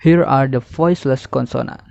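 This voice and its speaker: Young male student presenter, halting lesson read, soft-edged tone, muffled lo-fi sound. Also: mid-range voice, creaky, no room sound. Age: young adult. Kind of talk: scripted lesson narration, reading slides. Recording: muffled, dull lo-fi audio.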